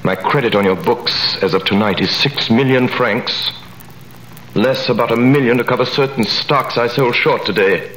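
A man playing a vengeful nobleman who commands the scene, theatrical and imperious, dropping to icy whispers and rising to thundering accusation. The tone is bright and forceful. It is an old radio recording with a roomy echo and faint hiss. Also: mid-range voice, normal speed, lightly acted. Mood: cold, businesslike. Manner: commanding, theatrical, swings from cold hush to thundering. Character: vengeful nobleman, a wronged man returned in triumph. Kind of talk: 1930s radio drama acting.

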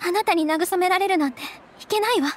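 An actress playing a gentle, earnest young noble lady in a game, caring and girlish, with polite, emotive feminine speech. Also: very high voice, quick pace, a little hiss or hum. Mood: upset, apologetic. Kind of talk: dramatic anime-style game dialogue. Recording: clear music behind the voice. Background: background game music.

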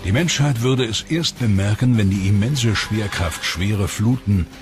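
A man with a very low, deep voice narrates a science documentary in a measured, dramatic way. His reading is scripted and steady. Music plays clearly beneath him. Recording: voice-over with background music.

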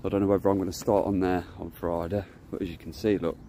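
Low-voiced man rambling by a lake. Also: flat delivery, quick pace, mellow, a little hiss or hum. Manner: rambling, chatty, self-correcting. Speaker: carp angler vlogger. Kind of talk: casual walk-and-talk vlog. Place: outdoors by a lakeside, walking through bushes. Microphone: phone camera held while walking.

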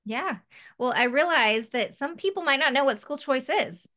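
A woman's voice: sits high, lively intonation, normal speed, bright, forceful tone. A woman in her thirties hosting a podcast interview over a video call, chatty and friendly, often restarting her sentences. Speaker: host.

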